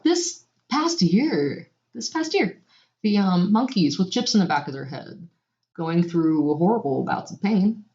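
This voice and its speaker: Female podcast co-host, lowish voice, animated and reactive, casual swearing, roomy echoey recording. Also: measured pace. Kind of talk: casual chat. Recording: dull, lo-fi, echoey room.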